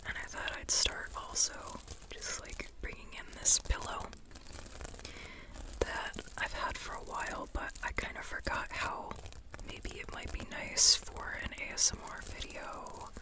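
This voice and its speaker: An ASMR host whispering in an informal, rambling way, softly and unhurriedly, often repeating phrases. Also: a little slow, poor audio. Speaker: ASMR host reviewing a book.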